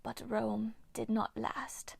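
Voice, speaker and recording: Woman voicing a menacing ancient nymph, whispered, slow, hissing, storybook reading. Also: fairly high pitch, level tone, creaky, slightly rough, dry recording, full character voice. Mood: bitter. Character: bitter ancient female water nymph, hungry and menacing.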